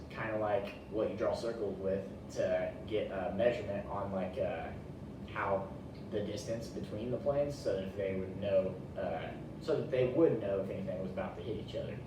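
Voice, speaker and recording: A man's voice: lowish voice, moderate pace. A young man giving an informal class presentation in a folksy, colloquial way. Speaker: student presenter. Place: echoey indoor room, presenting to a class. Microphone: distant room microphone. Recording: very echoey, distant mic, noisy room.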